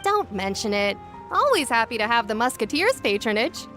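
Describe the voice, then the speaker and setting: A no-nonsense female gunsmith in a video game. Her high voice is very animated, casual and blunt as she chats over the counter. Soft music sits behind. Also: normal speed. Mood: friendly, casual.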